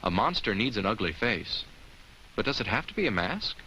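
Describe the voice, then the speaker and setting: An actor voicing a friendly, caring talking jack-o'-lantern who narrates a children's safety film in simple, instructive words addressed straight to kids. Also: male voice, on the low side, very animated, normal speed, pushed and bright, a little hiss or hum, full character voice, rough recording. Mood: gently instructive. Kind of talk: scripted educational narration for children.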